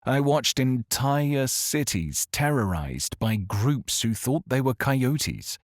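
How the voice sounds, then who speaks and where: An actor playing an earnest, weary exiled therapist, speaking solemnly and deliberately in a dramatic audio-fiction monologue. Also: male voice, medium pitch, animated, no echo, studio quality. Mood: grave, sorrowful.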